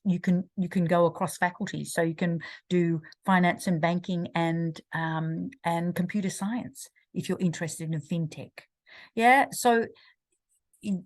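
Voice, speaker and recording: A woman, hosts a live online career event, introducing panel speakers in a chatty way with many restarts. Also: on the low side. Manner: chatty, restarts and stumbles over names. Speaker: host of a university career chat.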